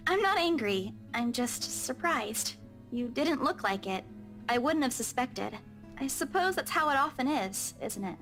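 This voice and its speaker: High, lively young woman, caring and proud. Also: a little slow, faint music behind, heavily acted. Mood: subdued, reassuring. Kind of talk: visual novel voice acting.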